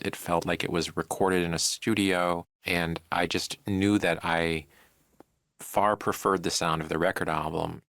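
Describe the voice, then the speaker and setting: A man gives a reflective interview about his work. His voice is fairly low with a gravelly fry, recorded dry and without room sound. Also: fairly bright tone, no room sound. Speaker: interviewee, audio drama producer.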